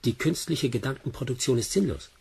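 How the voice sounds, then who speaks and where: An actor playing an earnest, principled doctor in a German sci-fi audio drama, measured and solemn in delivery. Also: male voice, medium pitch, expressive, vocal fry, a little mellow, a little hiss or hum. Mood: earnest, restrained. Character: principled, earnest male doctor, quietly defiant.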